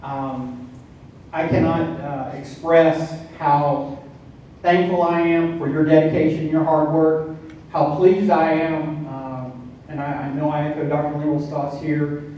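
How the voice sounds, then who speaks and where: A man in his fifties making an announcement at a staff meeting, halting and restarting his sentences. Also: fairly high pitch, flat delivery, warm tone, lo-fi sound, a little hiss or hum. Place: large meeting room. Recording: echoey hall from far off.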